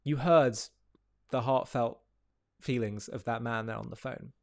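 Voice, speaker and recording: A man presenting reflective commentary in a measured, deliberate way, phrase by phrase. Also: medium pitch, gravelly, a little mellow, no room sound, studio quality.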